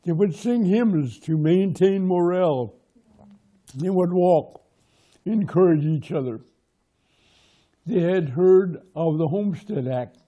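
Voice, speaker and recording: Slow, dark-voiced man whose first language is Lakota. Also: a little high. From Pine Ridge, South Dakota. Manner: measured, short plain sentences. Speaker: interviewee, local historian. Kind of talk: oral history storytelling.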